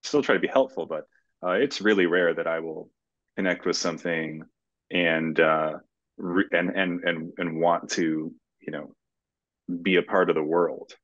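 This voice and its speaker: Really low-voiced guy talking in an interview, stop-start, kinda dull video call mic. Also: fairly even intonation, measured pace, a bit bright, no room sound. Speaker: screenwriter, interview guest. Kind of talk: conversational interview answers. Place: indoor room, video call. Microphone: video call microphone.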